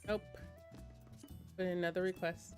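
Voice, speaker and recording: A young woman with a fairly low voice chats loosely while she plays, rambling and laughing at her own mix-ups. She has a slight cold and sounds a little sniffly. Faint music plays underneath. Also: fairly even intonation, normal speed. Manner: chatty, rambling, self-mocking asides. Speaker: variety game streamer. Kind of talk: casual live-stream chat while gaming.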